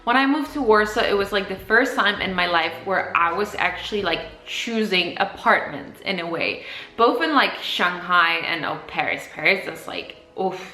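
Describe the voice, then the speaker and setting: A woman's voice: on the low side, expressive, normal speed, sharp tone, low-quality recording. A woman, talking casually and explaining things as a vlogger. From Poland, grew up partly in Copenhagen. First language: Polish. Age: 20s. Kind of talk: casual vlog talk to camera.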